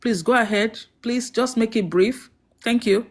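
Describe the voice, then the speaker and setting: A woman's voice: on the high side, unhurried. A woman moderating a group discussion, calling on speakers firmly and directly. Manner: firm, directive.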